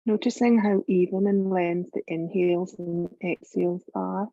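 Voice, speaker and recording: A woman leading a guided meditation as a yoga teacher, calm and measured, giving gentle instructions with long pauses. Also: fairly low pitch, gravelly, dry recording, lo-fi sound.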